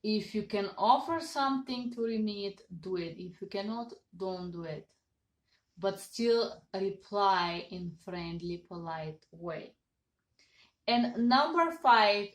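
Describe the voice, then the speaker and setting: A woman in her thirties with a Bulgarian accent, earnestly giving business advice to a webcam and stressing each numbered point. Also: medium pitch. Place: home office with certificates on wall. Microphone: webcam at desk. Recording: echoey room.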